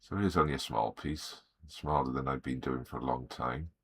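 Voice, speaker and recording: A middle-aged man with a Welsh accent talks in a deep, low register, his voice a little croaky from a sore throat. He chats in an easygoing way and greets viewers one by one as he works. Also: normal speed, fairly bright tone. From Wales. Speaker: artist streaming live. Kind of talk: relaxed live-stream chat while drawing.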